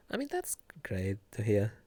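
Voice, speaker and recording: A young man with a Finnish accent chatting casually on a live stream, soft-spoken and giggly, hesitating often. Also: lowish voice, husky, no echo. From Finland.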